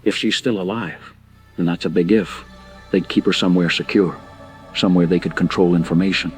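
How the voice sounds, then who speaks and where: An actor playing a grim informant in an audio drama, speaking in grave, measured and emphatic warnings. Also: male voice, low voice, fairly even intonation, faint music behind, close to an everyday voice. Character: grim male informant warning of bioweapon outbreak.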